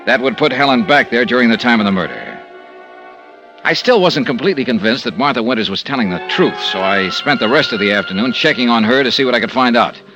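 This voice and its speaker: A man's voice: medium pitch, animated, quick pace, lo-fi sound, music in the background, natural voice. An American man playing a tough, persistent, wry private investigator in a clipped 1950s radio drama style. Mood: wry, measured. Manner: clipped, pressing, hard-boiled.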